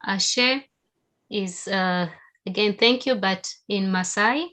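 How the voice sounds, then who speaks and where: A woman from Tanzania, with Haika as her first language, giving a steady, explanatory webinar presentation over a video call. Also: medium pitch, slow. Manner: steady, explanatory, with occasional fillers.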